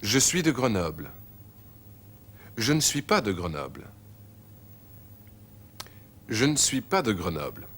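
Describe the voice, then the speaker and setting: A man narrating a language lesson, repeating phrases slowly and clearly. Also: fairly low pitch, sing-song, fairly bright tone. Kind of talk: scripted teaching narration.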